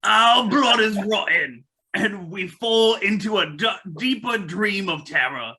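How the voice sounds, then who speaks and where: A man's voice: high-pitched, lively intonation, a little slow, bright, forceful tone, heavily acted. A man voicing a crazed, doom-laden old ruler in a dragged-out, melodramatic table read. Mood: morbid dread. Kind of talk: amateur table read of a film script.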